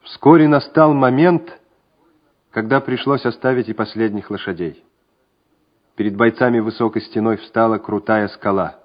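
A man narrating a wartime radio drama in a measured, solemn, scripted way. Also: on the low side, natural voice. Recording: dull old radio recording with music.

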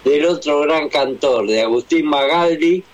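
A man's voice: a little high, a little slow. A man with an Argentine accent chatting casually on the radio and telling anecdotes. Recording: dull radio broadcast sound.

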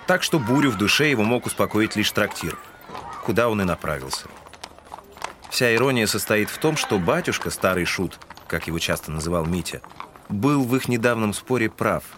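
A man's voice: fairly low pitch, lively intonation, moderate pace, noisy background, natural voice. A man giving scripted literary narration in a Russian audio drama.

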